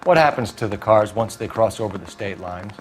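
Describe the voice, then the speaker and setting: An actor playing a stern police detective with an American accent in a crime drama game, clipped and commanding. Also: male voice, fairly low pitch, animated, fairly quick, faint music behind, natural voice. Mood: stern, accusing. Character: hard-boiled male police detective, stern and pressing.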